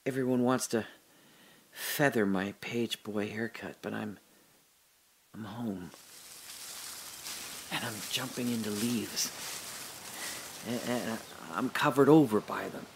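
An actor playing a man trapped in a dark, airless space, muttering to himself haltingly and drifting into memories in an audio drama monologue. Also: fairly low pitch, a little hiss or hum. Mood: reminiscing.

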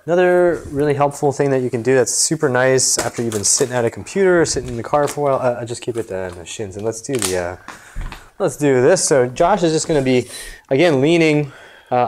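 A man's voice: medium pitch, darkish tone. Informal American male fitness coach. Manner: jokey, informal explanatory. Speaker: movement coach and video host. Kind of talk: casual exercise demonstration. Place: small indoor gym.

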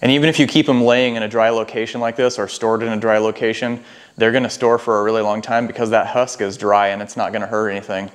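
A man's voice: fairly low pitch, level tone, fast talker. An American man in his thirties talking casually and explaining things as a vlogger, on a clip-on mic. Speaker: foraging vlogger. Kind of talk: casual explanatory vlog talk. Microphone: clip-on wireless lapel mic.

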